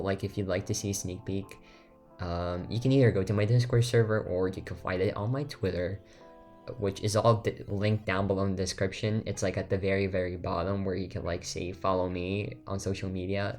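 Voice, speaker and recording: A man's voice: sits low, darkish tone. A teenage boy whose first language is Lithuanian, chatting casually in a voiceover over game footage, rambling with lots of fillers and restarts. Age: teens. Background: faint background music.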